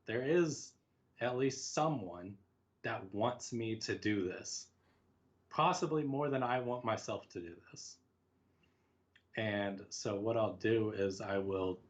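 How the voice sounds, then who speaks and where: A man speaking casually as an interview guest, reflective and self-deprecating, often acting out small quoted asides. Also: lowish voice. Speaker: podcast guest, writer.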